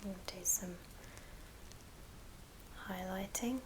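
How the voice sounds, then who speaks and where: A woman speaks in a soft whisper over clearly audible background noise and small clicks. She talks through a hands-on craft in an ASMR style, at a middling pitch for a woman. Her short phrases come unhurriedly, with pauses between them. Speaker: ASMR craft video host.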